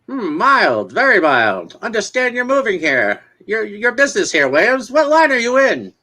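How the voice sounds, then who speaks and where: A man's voice: high voice, huge pitch swings, moderate pace, sharp tone, full character voice. A man acting a friendly, gossipy small-town neighbor in a dramatic comic reading, folksy and exclamatory. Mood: folksy, opinionated.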